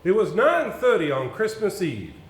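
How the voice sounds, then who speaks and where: Nervous, high-voiced gentleman played by a man on stage. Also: huge pitch swings, moderate pace. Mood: stiff reciting. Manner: hesitant, apologetic, formal. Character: nervous, earnest gentleman, a reluctant and awkward amateur reciter. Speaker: stage actor in an amateur two-man play. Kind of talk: stage acting. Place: indoor theater stage. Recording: roomy theater stage recording, background noise.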